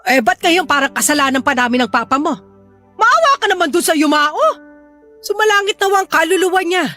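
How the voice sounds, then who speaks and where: Hot-tempered middle-aged Filipino mum, radio-drama melodrama. Very high, sharp, scolding and quick to threaten. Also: female voice, animated, faint music behind, exaggerated voice. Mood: exasperated, nagging. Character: hot-tempered, nagging, gambling widowed Filipino mother.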